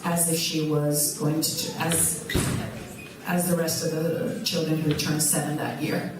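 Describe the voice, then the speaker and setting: Low, flat-voiced woman in echoey hall. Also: a little slow. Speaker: school representative. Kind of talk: answering audience questions. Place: event hall. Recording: echoey hall, distant mic, noise.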